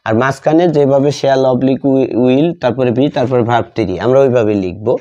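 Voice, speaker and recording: A man, a native Bengali speaker, giving a step-by-step grammar lesson as a teacher, dictating deliberately term by term with repetition. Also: mid-range voice, steady tone, mellow, muffled recording.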